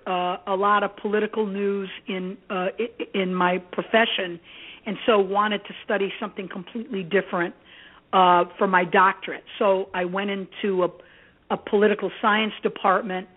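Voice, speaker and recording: A woman's voice: mid-range voice, steady tone, normal speed. A tinny, phone-like line carries the voice of a middle-aged American woman. She is a professor being interviewed, and she talks conversationally, with an earnest and reflective manner. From United States (Indiana), born in Greece. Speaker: university professor, interview guest.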